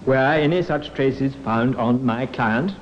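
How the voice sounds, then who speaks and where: An actor playing an earnest defence barrister in an old radio courtroom drama, formal, precise and persistent. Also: male voice, mid-range voice, slow pace, close to an everyday voice. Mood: probing. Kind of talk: 1950s radio drama acting. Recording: muffled, hissy old radio recording.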